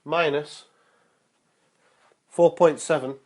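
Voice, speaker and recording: A young man vlogging about fitness, his voice a little nasal from a cold and his throat sore, upbeat and motivational, with many ums and uhs, slowing down to spell out figures. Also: on the high side, fairly even intonation. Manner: slow and careful when reading out numbers. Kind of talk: casual vlog talk and explainer.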